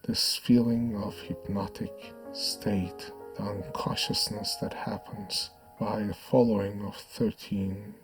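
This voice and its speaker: Low-voiced man guiding hypnosis over music. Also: little pitch movement, low-quality recording. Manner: soothing, measured, hushed delivery with lingering pauses. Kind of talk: guided hypnosis narration.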